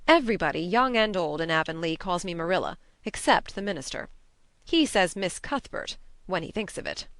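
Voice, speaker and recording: Woman acting older spinster, stern, no-nonsense, animated pitch, dry close sound, brisk, disapproving, storybook character. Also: no room sound. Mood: grim, firm. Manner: brisk, disapproving, plain-spoken. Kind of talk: audiobook character reading.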